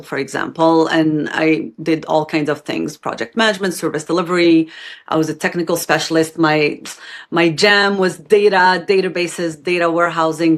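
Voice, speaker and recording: A woman in her fifties, from Algeria, giving earnest, matter-of-fact answers as an interview guest. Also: on the low side.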